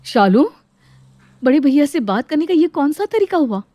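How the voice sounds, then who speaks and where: Woman playing wronged, protesting sister-in-law in a cartoon family drama; fairly high voice, dry recording. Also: very animated, normal speed, dark tone, dry recording. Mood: indignant. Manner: indignant, protesting. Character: indignant married sister-in-law defending her household. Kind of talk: dramatized Hindi moral story acting.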